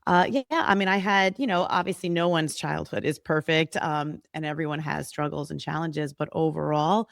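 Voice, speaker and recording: A woman from New York, a guest on a podcast, talking in a warm, chatty way and laughing easily. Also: low voice, steady tone, moderate pace, vocal fry, few pauses, dry recording, clean studio sound. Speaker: guest, massage and reiki therapist. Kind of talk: casual interview.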